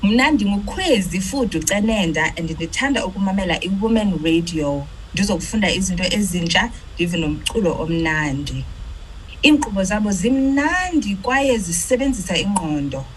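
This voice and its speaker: A woman giving a warm, smiling listener testimonial for a radio station. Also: mid-range voice, lively intonation, moderate pace, slight background noise, rough recording. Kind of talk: read promo.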